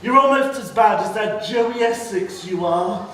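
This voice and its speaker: A man playing a bawdy, scatty middle-aged mother as an English pantomime dame, with campy, drawn-out comic delivery. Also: high voice, a bit bright. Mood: indignant, comic. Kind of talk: British pantomime stage acting. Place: theatre stage before an audience. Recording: echoey theatre stage, distant mic, faint noise.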